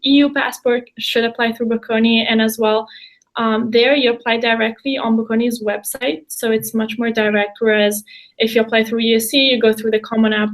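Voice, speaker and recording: A woman's voice: a little high, poor audio. A young woman from Italy, a senior student, explaining an application process in an orderly way. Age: young adult. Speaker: student panelist. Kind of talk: informative explanation.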